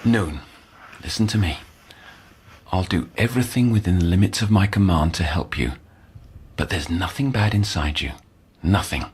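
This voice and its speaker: An actor playing an earnest, brooding middle-aged male doctor in an audio drama, speaking formally and haltingly in erudite language. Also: low-pitched, darkish tone, breathy edge. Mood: gentle, reassuring. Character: earnest, scholarly, brooding male doctor haunted by past failures.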